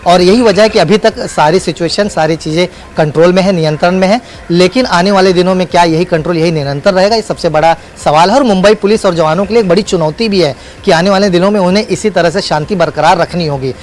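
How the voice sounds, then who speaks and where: A man's voice: high-pitched, quick pace, few pauses, a little hiss or hum. A man reporting live as a news correspondent, speaking unscripted in emphatic, repetitive phrases with hesitant fillers.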